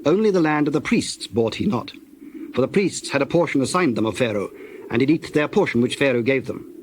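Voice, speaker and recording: A man narrating scripture from a script in a measured, solemn storytelling manner. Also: mid-range voice, lively intonation, slight background noise.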